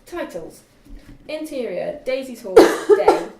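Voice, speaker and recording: A woman's voice: high-pitched, very animated, slow pace, vocal fry. A young woman reading screenplay directions aloud as narrator at a group table read. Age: young adult. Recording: distant echoey room, faint noise.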